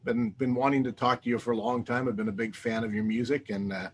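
A man's voice: medium pitch, fairly even intonation, fast, slight background noise. A man hosting a music interview show, introducing his guest and asking questions with some hesitant restarts. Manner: friendly, hesitant, restarts phrases. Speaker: podcast host.

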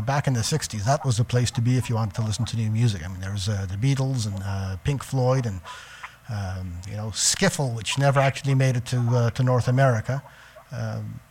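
A man hosting a radio interview, asking measured questions with hesitant pauses. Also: mid-range voice, darkish tone, slight background noise. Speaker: radio show host interviewer.

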